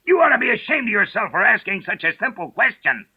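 A man voicing a brusque, bossy Griffin in a storybook drama, blunt and scolding with rough folksy grammar. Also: high voice, sing-song, fast talker, narrow, tinny audio, exaggerated voice.

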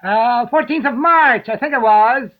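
A wretched, fidgety hatter from a storybook. The voice is pitched extremely high, trembling and faltering as it pleads like a humble soul before a tyrant. It comes through tinny and phone-like. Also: male voice, measured pace. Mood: nervous. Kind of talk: storybook character voice.

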